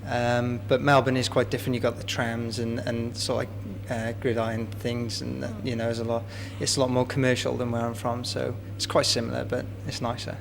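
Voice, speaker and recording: Brief: man, flat gravelly delivery, hesitant, noisy hum behind. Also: mid-range voice, fairly quick, few pauses. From Wales. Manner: hesitant, searching for words. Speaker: interviewee. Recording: background hum.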